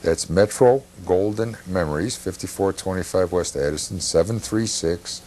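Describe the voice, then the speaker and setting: A man hosting a show in casual, halting chat, often repeating himself. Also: sits low, warm tone, a little hiss or hum. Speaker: TV show host.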